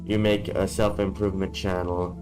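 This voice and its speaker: A man talking in a casual vlog from a dark room, blunt and swearing freely, his voice worn from being sick. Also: low voice, level tone, normal speed, over music. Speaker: YouTuber.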